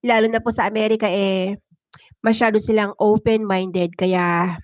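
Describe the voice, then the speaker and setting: A woman's voice: medium pitch, fairly even intonation, moderate pace, no echo, studio quality. A woman with a Filipino accent, working as a storyteller and host on a livestream. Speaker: storyteller and live-streaming channel host. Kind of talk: dramatic first-person story narration; casual livestream chat with viewers.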